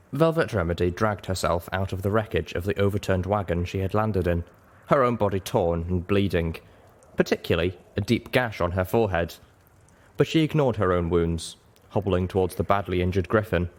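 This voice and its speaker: Male storyteller, low voice, expressive audiobook narration. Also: normal speed.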